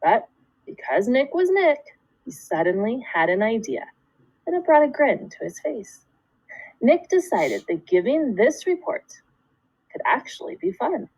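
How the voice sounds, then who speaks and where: Female schoolteacher for a children's read-aloud: animated intonation, deliberate storytelling phrasing, dry room sound. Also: fairly high pitch, breathy edge, no room sound, low-quality recording. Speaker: second grade teacher.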